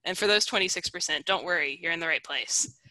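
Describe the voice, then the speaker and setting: Bright, bubbly woman hosting a webinar. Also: fairly low pitch, fast, rough recording. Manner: bubbly and encouraging. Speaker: webinar host. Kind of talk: upbeat webinar moderation. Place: home video call. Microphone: headset.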